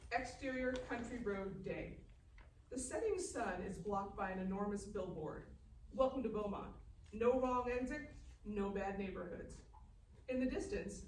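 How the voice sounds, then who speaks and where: A woman writer presenting at a live table read. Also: medium pitch. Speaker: scriptwriter and presenter. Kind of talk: introduction and screenplay narration read aloud. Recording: noisy echoey room, distant mic.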